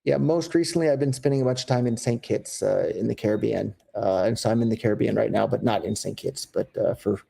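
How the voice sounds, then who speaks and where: A man's voice: fairly low pitch, quick pace, vocal fry, few pauses, dark tone, breathy edge. A man with an American accent, talking candidly as an interview guest, rambling a little with fillers and restarts. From United States. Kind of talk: casual interview talk.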